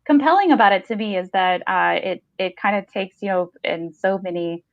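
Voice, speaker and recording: A woman's voice: mid-range voice, moderate pace, dry recording. A woman in her thirties on a video call, a podcast reader and co-host who reads and talks about short stories. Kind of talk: short story reading and casual book chat. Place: small room at home, video call. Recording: slightly dull video-call audio.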